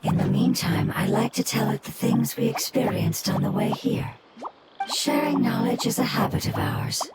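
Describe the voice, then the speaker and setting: A gentle young female guide from a video game, speaks in a somewhat high voice. She is measured, courteous and reassuring, with loud music playing behind her. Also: big pitch swings. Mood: gentle, grateful. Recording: loud game music, low-quality gameplay capture.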